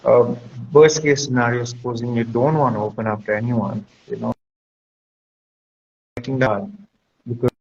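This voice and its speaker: Man, mid-pitched voice, reflective counselor, conversational advice, muffled audio with noise. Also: steady tone, normal speed, long pauses, darkish tone. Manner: reflective, explanatory, checks with "right?". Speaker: guest speaker, school counselor. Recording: dull lo-fi audio with clear background noise.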